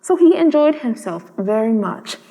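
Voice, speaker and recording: A woman's voice: on the high side, expressive, moderate pace, warm tone. A woman reading a storybook aloud as a scripted storyteller. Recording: long reverb.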